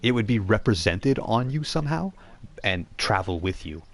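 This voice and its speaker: A man's voice: fairly low pitch, sing-song, normal speed. A man speaking as game master, narrating a tabletop role-playing game in a casual, explanatory way.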